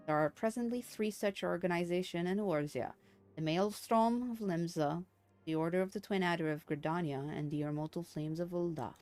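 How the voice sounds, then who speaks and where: A woman's voice: on the low side, a little mellow, natural voice. Woman voicing a gracious, formal fantasy leader over music. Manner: formal, measured, stately wording. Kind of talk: video game dialogue read aloud. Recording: game music behind the voice.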